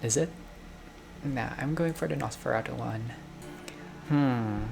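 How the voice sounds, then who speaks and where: A man's voice: medium pitch, unhurried, vocal fry, over music. A man doing a game playthrough, reading narration aloud and dropping in casual reactions. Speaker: gamer playing a visual novel.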